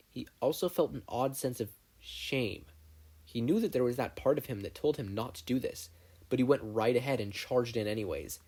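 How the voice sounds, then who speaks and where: A man's voice: mid-range voice, darkish tone. A man reading scripted story narration as an audiobook narrator.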